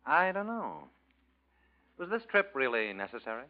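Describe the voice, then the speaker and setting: A man's voice: mid-range voice, big pitch swings, moderate pace, lightly acted. An actor playing a cynical, bitter young male artist in a 1940s radio drama, sardonic and clipped, on a tinny old recording.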